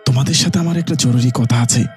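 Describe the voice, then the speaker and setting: A man's voice: mid-range voice, fast talker, over music, close to an everyday voice. A man voicing an anxious, earnest young village son who narrates and acts in a horror cartoon. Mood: urgent, pleading. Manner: earnest, pleading.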